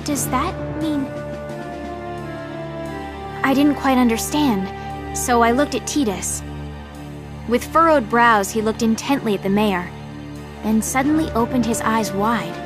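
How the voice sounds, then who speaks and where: A woman's voice: fairly high pitch, a little slow, long pauses, over music, close to an everyday voice. A woman acting a brash, exasperated young woman in an audio drama, sarcastic and blunt as she talks and narrates. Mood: wry, puzzled.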